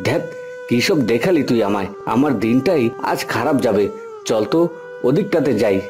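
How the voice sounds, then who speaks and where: A man voices a vain young villager with a medium-pitched voice. He talks with boastful disdain in fairy-tale cartoon style, and music plays clearly behind him. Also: expressive, normal speed, natural voice. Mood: disgusted.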